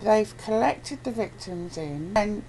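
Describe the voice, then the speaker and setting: A woman's voice: medium pitch, lively intonation, slow, mellow. A woman chatting casually and conversationally. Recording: faint background noise.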